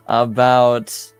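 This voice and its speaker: A man voicing a reluctant, deadpan guard in tabletop roleplay, terse, dry and resigned. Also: medium pitch, clear, resonant tone. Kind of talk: improvised tabletop roleplay dialogue. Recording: music behind the voice.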